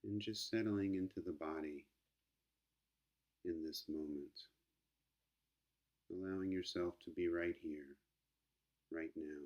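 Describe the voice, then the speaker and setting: Guy doing guided meditation, really low voice, calm n gentle, bit muffled recording. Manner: gentle, calm, unhurried, with long pauses. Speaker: meditation teacher.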